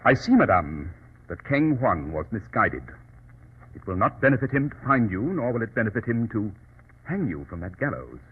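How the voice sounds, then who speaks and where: An actor playing a brilliant, self-assured detective, formal and sardonic in 1940s radio drama style. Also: male voice, fairly low pitch, sing-song, moderate pace, phone-quality sound. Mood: grave, measured. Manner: crisply formal, sardonic, theatrical. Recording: thin, scratchy old radio recording.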